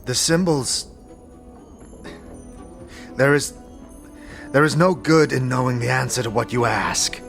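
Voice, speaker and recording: A man's voice: mid-range voice, slow, vocal fry, pauses often, fairly bright tone. A man playing a stoic, guarded old warrior in a fantasy audio drama, speaking in a terse, formal, grave way. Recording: music under the voice.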